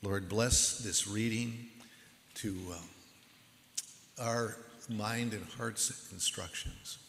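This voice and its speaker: A man preaching a sermon as pastor in a church, with a measured, earnest delivery. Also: fairly low pitch, steady tone, creaky, a bit bright, a little hiss or hum. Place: church sanctuary. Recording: echoey hall with long reverb.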